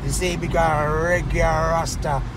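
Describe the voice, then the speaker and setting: A man from South Africa talks in a rhythmic Rasta patois and breaks into chanted shout-outs. His voice sits a little high. He is riding in a moving car, and clear road and engine noise runs under him. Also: steady tone, a little slow. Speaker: local tour guide and reggae artist. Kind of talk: casual chat mixed with reggae toasting. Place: inside a moving car in a city. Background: traffic and engine noise.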